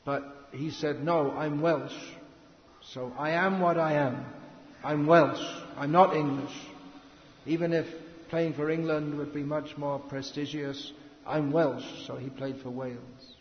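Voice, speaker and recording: Slow, deliberate man in an echoey hall. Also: fairly high pitch, fairly even intonation. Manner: slow, halting, with pauses and restarts. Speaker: speaker giving a spiritual lecture. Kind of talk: slow philosophical lecture. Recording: echoey hall, lo-fi with faint noise.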